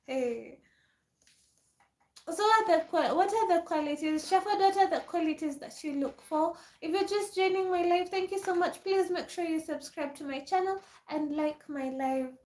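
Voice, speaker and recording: A woman's voice: extremely high pitch, normal speed, a little mellow. A young woman, chatting casually on a livestream, reading and answering viewer comments and laughing often. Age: 20s. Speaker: livestream host. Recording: echoey room.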